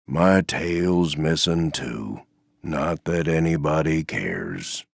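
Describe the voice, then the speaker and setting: A man voicing a gloomy, resigned, self-effacing stuffed donkey for a video game, with a mournful, deadpan, sighing delivery. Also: rumbling low, gravelly, dry recording, studio quality, exaggerated voice. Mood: glum, deadpan. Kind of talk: video game character voice lines.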